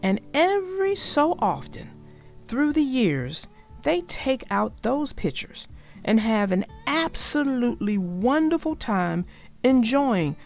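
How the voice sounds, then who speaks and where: A woman narrates a children's story in a very animated voice. She takes her time, phrasing things dramatically as she speaks to her listeners. Soft music plays behind her. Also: on the high side. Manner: deliberate, dramatic phrasing, addresses listeners directly. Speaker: read-aloud storyteller.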